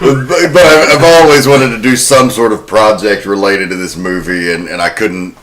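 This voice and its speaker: A man's voice: lowish voice, steady tone, moderate pace, fairly bright tone, low-quality recording. A man hosting a horror film podcast, speaking in a wry, sardonic way. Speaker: podcast host. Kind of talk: horror film recap and casual discussion.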